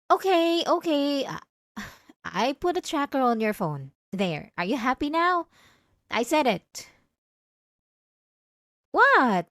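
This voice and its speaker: A woman playing a possessive, obsessive wife in an intimate ASMR roleplay, pleading and defensive, coaxing with halting, stammered self-corrections. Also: sits high, no echo, clean studio sound, full character voice. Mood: evasive, cornered. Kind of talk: ASMR roleplay drama, intimate monologue to listener.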